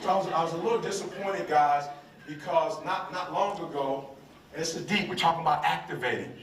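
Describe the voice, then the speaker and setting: A man's voice: fairly high pitch, fairly quick, distant mic, a little hiss or hum. An American man giving a live motivational speech to a room, emphatic and repeating his phrases call-and-response style. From United States. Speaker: motivational speaker. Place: large room with audience. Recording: roomy hall, voice from off-mic.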